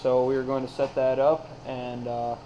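Young male vlogger, relaxed and rambling, recorded on a muffled action camera with clear background noise. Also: mid-range voice, measured pace. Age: young adult. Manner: laid-back, rambling, lots of "so" and "yeah". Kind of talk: casual vlog narration. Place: garage, outdoors in snow, inside a truck.

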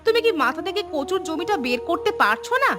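An actress playing an anxious, skeptical village wife in a cartoon, fretful and questioning. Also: female voice, very high voice, very animated, quick pace, over music. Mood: startled, scolding.

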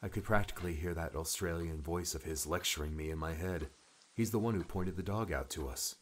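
A man playing an earnest, grieving young man in an audiobook, speaking in a quiet mutter. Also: sits low, a little fast. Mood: earnest, shaken. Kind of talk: audiobook character acting.

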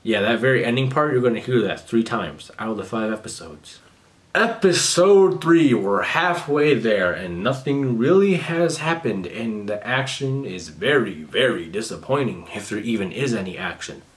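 A man's voice: fairly low pitch, animated, moderate pace. Sarcastic young man ranting on a webcam. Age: young adult. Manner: sarcastic, swears a lot, mocking. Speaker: commentary youtuber. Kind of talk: casual commentary vlog. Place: small bedroom.

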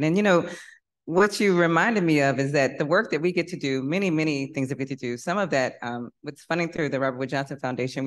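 A woman moderating a conference panel on stage, lively, putting rhetorical questions to the audience. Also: low-pitched. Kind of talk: panel discussion.

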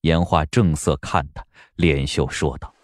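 A man's voice: extremely low pitch, steady tone, a little slow, no room sound, clean studio sound. A man giving dramatic scripted narration for a historical audio drama, as an audiobook narrator.

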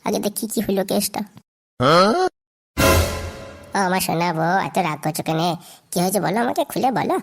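A woman's voice: fairly low pitch, expressive, fast talker, gravelly, darkish tone, soft background music. A woman voicing a crude, blustering village husband in a comedy cartoon. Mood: wheedling. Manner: blustering, crude, wheedling turning abusive. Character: hot-tempered, crude, boozing Bengali village husband.

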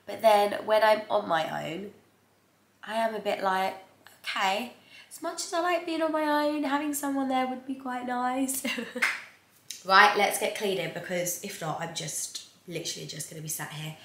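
A woman's voice: mid-range voice, normal speed, a bit bright, reverberant, slight background noise. A woman in her twenties vlogging casually to camera in her living room, sounding blocked up with a cold and laughing lightly between phrases. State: congested with a cold. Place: bright living room, indoors.

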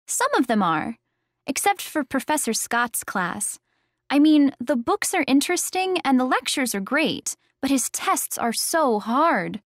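A talkative female student speaks in a scripted lesson dialogue. She is high-pitched and animated, in a dry recording. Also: unhurried, dry recording. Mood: candid. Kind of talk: scripted English listening-exercise dialogue.

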